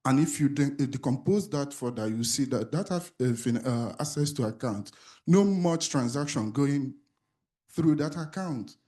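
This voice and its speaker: A man's voice: mid-range voice, lively intonation, moderate pace, mellow. A man from the audience asking a long, hesitant question at a conference, with many fillers. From Nigeria. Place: conference auditorium.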